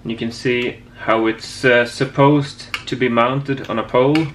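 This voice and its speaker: A man giving a casual hands-on project demonstration as a hobbyist presenter, with a hesitant, halting delivery. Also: medium pitch, fairly even intonation, a little hiss or hum. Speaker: amateur radio hobbyist presenter. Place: indoor home workshop.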